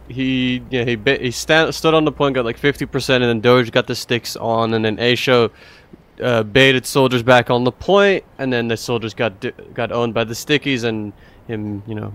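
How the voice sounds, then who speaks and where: A man's voice: mid-range voice, smooth. Rambling male esports caster. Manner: relaxed, rambling play-by-play with many fillers. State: voice getting raspy. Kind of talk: live game commentary.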